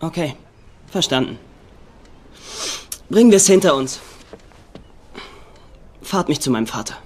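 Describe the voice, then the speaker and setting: Defensive young gambler, higher-pitched. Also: male voice, expressive, measured pace, darkish tone. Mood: resigned. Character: defensive, sullen young male runaway gambler. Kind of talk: German dubbed series dialogue. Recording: music behind the voice.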